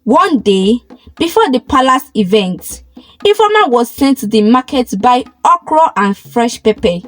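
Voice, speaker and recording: A woman narrating a scripted folktale in a dramatic, expressive way. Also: fairly high pitch, unhurried, faint music behind. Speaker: storyteller, narrator.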